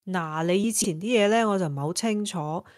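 A woman's voice: medium pitch, fairly quick, no echo, studio quality, natural voice. An actress playing a sassy, independent single woman in her thirties in an audio drama, teasing and sarcastic. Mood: teasing, frank. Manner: playful, sarcastic retorts. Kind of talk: Cantonese audio drama acting.

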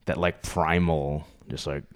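A man's voice: extremely low pitch, steady tone, a little slow. A man co-hosting a podcast, talking casually and rambling with lots of fillers. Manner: rambling, trailing off, many fillers. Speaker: podcast co-host.

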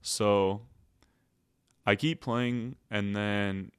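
A young man with a lowish voice that stays quite level and sounds smooth and clean. He tells his story in a laid-back way full of "like" fillers. The sound is dry and close. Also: fairly even intonation, very slow, dry recording. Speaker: guest. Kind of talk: casual storytelling.

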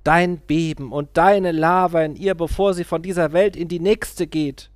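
A man's voice: medium pitch, animated, dry recording, studio quality. A man voicing a sneering old guru. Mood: whispering. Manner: slow, mocking, whispered urgings. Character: sneering old male guru, mocking and menacing.

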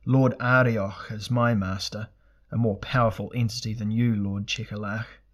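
A man's voice: lowish voice, fairly even intonation, moderate pace, natural voice. Guy playing a proud warrior hero in a fantasy audiobook, bold and formal, bit wry, defiant, voice raised. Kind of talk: dramatic fantasy audiobook character voice.

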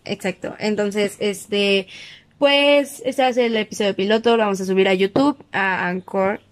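A woman's voice: medium pitch, unhurried. A young woman with a Mexican accent co-hosting a podcast in a casual, joking way, with plenty of slang. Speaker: podcast co-host.

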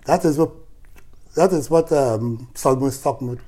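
A man's voice: mid-range voice, normal speed, mellow. A man in his seventies with a Guyanese accent, reflecting in conversation as an interview guest, with emphatic repetition. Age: 70s. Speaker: guest, church elder.

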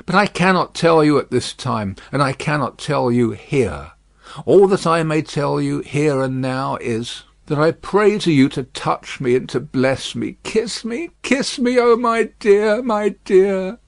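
A man's voice: fairly high pitch, lively intonation, unhurried, vocal fry, no echo. A man voicing a tender young woman who pleads with her father in earnest, imploring words. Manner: earnest, imploring, repetitive pleas.

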